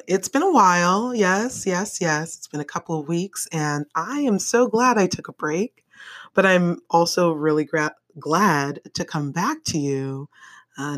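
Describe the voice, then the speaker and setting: A woman with a deep, raspy voice hosting her own podcast, talking casually, laughing often and swearing freely. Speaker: podcast host. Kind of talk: casual solo podcast monologue. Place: home bedroom. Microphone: microphone on a stand, held in hand.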